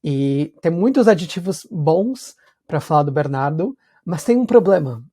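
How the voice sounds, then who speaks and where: A man's voice: a little high, lively intonation, measured pace, mellow, husky. A man from São Paulo with a Paulista accent, hosting a podcast and asking curious questions while thinking aloud. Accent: Paulista Brazilian Portuguese. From São Paulo, Brazil. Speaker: podcast host. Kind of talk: casual conversational interview.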